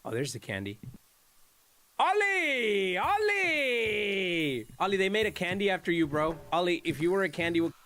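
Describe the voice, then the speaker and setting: Brief: male streamer, slightly high voice, profane joking banter with bits of Spanish slang. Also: very animated, normal speed, pushed and bright. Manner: joking, profane trash talk with the game's characters; drops Spanish slang into English. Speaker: streamer playing a horror game. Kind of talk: casual gameplay commentary.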